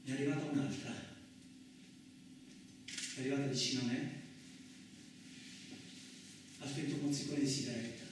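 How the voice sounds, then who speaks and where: A man's voice: mid-range voice, natural voice. Man acting a battered truck driver, echoing, distant. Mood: dazed recounting. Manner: halting, repetitive, colloquial, with agitated outbursts. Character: battered, bewildered, rough-spoken Italian truck driver telling his ordeal. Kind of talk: theatrical dramatic monologue for radio. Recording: very echoey, distant, clearly noisy recording.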